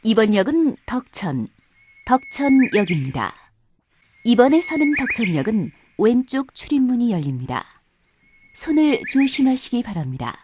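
A woman's voice: a little high, very animated, normal speed, darkish tone, slight background noise. A woman reading formal scripted subway announcements in a polite, carefully enunciated way.

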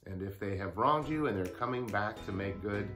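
A man in his sixties, a pastor giving a daily devotional to camera in a study, speaking earnestly with pauses. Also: on the low side, animated, fairly bright tone, faint music behind. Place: home study with bookshelves. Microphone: lapel mic.

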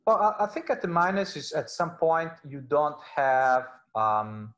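A middle-aged man from Portugal, a native Portuguese speaker with a Portuguese accent, giving halting, conversational interview answers as a professor on a video call. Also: a little high, sing-song, a bit bright. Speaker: law professor, interview guest. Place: indoor room, video call. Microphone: wired earphones on a video call.

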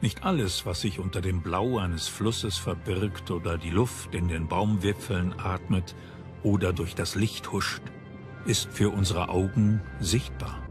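A man reading scripted documentary narration in a measured, dramatic storytelling manner. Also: low-pitched. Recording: music bed behind voice. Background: background music.

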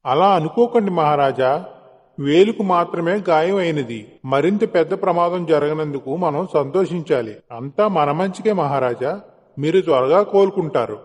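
A loyal, humble minister to a cartoon king, acted by a man, speaks with calm respect and reassurance. The voice is on the high side and carries a long hall reverb. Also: moderate pace, close to an everyday voice. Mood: calm, reassuring. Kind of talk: animated moral story dialogue.

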